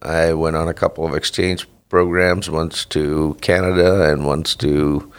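A middle-aged man from the Netherlands with a Dutch accent, a dairy farmer answering interview questions in a halting way with many fillers and restarts. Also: extremely low pitch, level tone, clean tone.

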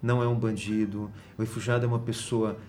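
A man with a Brazilian Portuguese accent, a university guest in an interview, explaining in an earnest, measured way with frequent hesitations. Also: on the low side, little pitch movement, darkish tone, soft background music.

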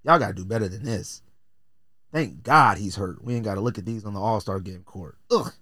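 A man's voice: lowish voice, a little fast, sharp tone, no echo, clean studio sound. An American man hosting a podcast with casual, opinionated commentary, talking in slang with a blunt, joking, theatrical delivery. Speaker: podcast host.

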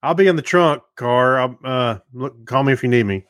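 A man's voice: medium pitch, moderate pace, a bit bright, no room sound, studio quality. A man co-hosting a podcast, chatting casually, rambling and laughing often. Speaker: podcast co-host. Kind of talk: casual banter.

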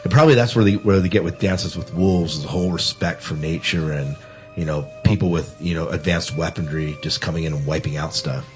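A man's voice: a bass voice, brisk, a little mellow, faint music behind. A man hosting a casual film discussion, repeating words as he steers the conversation along. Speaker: podcast host.

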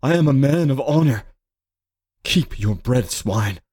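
Storybook father, a proud and desperate man of honor, acted by a male narrator, impassioned and wide-swinging in pitch. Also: lively intonation, measured pace, darkish tone, breathy edge. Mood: resolute, defiant. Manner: anguished, impassioned, declamatory. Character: desperate, honorable father wrestling with guilt, then dying. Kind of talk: dramatic storybook character acting.

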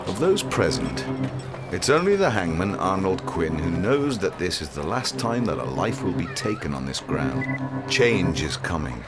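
A man's voice: deep voice, sing-song, normal speed, over loud music. A man reading scripted, dramatic narration of a historical story as its narrator.

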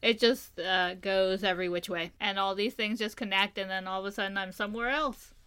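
A woman's voice: medium pitch, steady tone, brisk, fairly bright tone, clear, resonant tone. A woman co-hosting a podcast, chatting casually in a playful, giggly, rambling way. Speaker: podcast co-host. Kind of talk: casual chat. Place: Japan.

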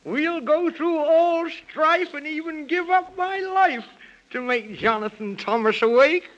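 A very high, piercing moon man frets kindly in rhyme, full of fussy exclamations. The delivery is slow, theatrical 1930s children's radio acting. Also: a bit bright, lo-fi sound, exaggerated voice. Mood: pleading.

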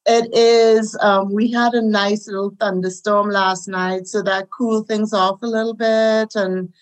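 A woman with a Trinidadian accent, a guest recounting her life in a relaxed interview, with soft laughs as she talks. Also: mid-range voice, unhurried, rough recording. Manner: warm storytelling with soft laughs. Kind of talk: conversational interview.

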